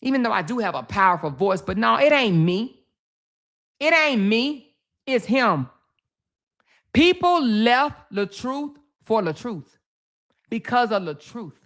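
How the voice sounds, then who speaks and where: Woman ranting on her channel, slow and deliberate, cussing, repeats herself for emphasis. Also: a little high, sing-song, a bit bright. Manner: blunt, profane, emphatic with repetition. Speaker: commentary channel host. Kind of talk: casual social media commentary.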